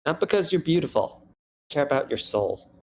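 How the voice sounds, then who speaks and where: A man's voice: on the high side, very animated, normal speed, gravelly, darkish tone. A man acts out an earnest husband in a script table read. He tries to placate his wife in an everyday speaking voice. There is faint noise on the recording. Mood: tender, hopeful. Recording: background noise on a live meeting.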